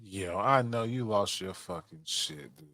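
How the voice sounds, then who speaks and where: A man's voice: on the low side, unhurried, creaky, a crowd in the background. A man co-hosting a podcast, giving blunt, emphatic opinions in casual, profanity-laced chat. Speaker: podcast co-host.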